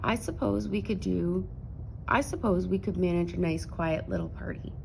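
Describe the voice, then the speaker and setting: A woman voicing a cautious mother bear in a children's storybook, measured, motherly and gently restraining. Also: lowish voice, creaky, a little hiss or hum, rough recording.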